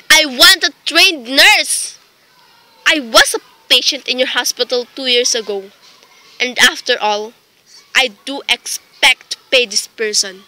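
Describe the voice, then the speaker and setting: A woman's voice: high-pitched, pushed and bright, exaggerated voice, low-quality recording. A woman playing a nervous, demanding invalid wife in a dramatic radio play. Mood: fretful, demanding. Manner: imperious, dramatic, fretful.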